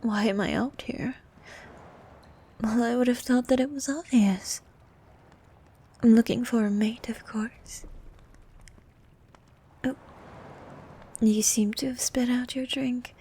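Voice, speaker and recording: A woman playing a bossy, haughty ancient sea queen monster in an ASMR roleplay, with a soft, partly whispered voice and regal, condescending manner. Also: on the high side, steady tone, husky, full character voice. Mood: haughty, flirtatious. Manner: regal, condescending, formal, unhurried.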